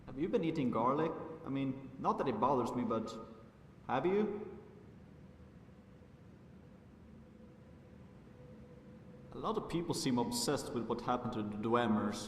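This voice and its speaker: A man's voice: medium pitch, lively intonation, fairly quick, a little hiss or hum, close to an everyday voice. Man playing a wry, chatty companion, echoey. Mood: quizzical, rambling. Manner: conversational, wry, rambling. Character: wry, chatty, friendly male vampire adventuring companion. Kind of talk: fantasy game character acting. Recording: echoey, distant, music behind voice.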